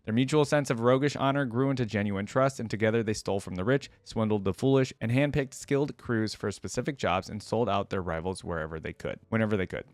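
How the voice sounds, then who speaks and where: A man's voice: mid-range voice, darkish tone, no room sound. A man with a mid-range voice reads game lore aloud as the host of a reaction video. He slips between narration and casual, conversational commentary. The sound is dry, with very little room in it.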